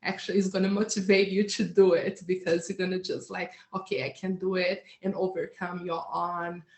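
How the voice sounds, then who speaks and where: A woman's voice: fairly low pitch, little pitch movement, a little mellow. Earnest non-native woman in a roomy echo. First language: not English. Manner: earnest, halting with fillers and restarts. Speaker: hypnotherapist guest. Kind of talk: casual interview.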